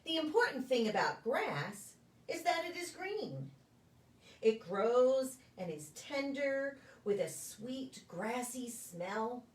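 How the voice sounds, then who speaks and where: A woman reads a picture book to small children in a sing-song storytelling style. Her voice sits a little high and swings widely in pitch. She is heard from a bit of a distance from the microphone, in a deliberate delivery meant for preschoolers. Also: big pitch swings. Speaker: pastor reading stories to preschool children. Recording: echoey room, distant microphone.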